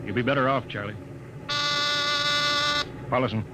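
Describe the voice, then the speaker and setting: A man's voice: mid-range voice, fairly even intonation, a little slow, close to an everyday voice. An actor in a 1950s radio drama playing a commanding, short-tempered male spaceship captain who barks clipped orders. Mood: stern. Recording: dull, noisy old radio recording.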